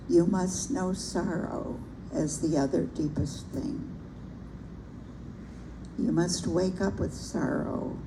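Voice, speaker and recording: An older woman with a soft, gentle voice, reading a favorite poem aloud at a bookstore event and talking about it reflectively. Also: lowish voice, vocal fry. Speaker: poetry reader at a public reading. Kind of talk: reciting a poem with personal remarks. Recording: noisy live event recording.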